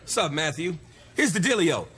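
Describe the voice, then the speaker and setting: A man playing a laid-back, slangy Jesus who is a superhero's boss, in a comedy parody, with a husky voice. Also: a little high, very animated, normal speed, very noisy. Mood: casual.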